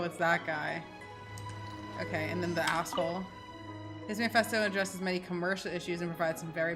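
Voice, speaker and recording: A woman streaming a video game, giving casual, outspoken commentary in informal slang and sometimes reading text aloud. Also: lowish voice. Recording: game music behind the voice.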